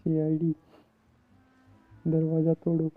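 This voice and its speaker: A livestreamer with a very monotone, rapid delivery makes casual, joking commentary. The sound is thin and phone-like, with faint music behind. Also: medium pitch. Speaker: game livestreamer. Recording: dull lo-fi mic, much louder than game. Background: game music.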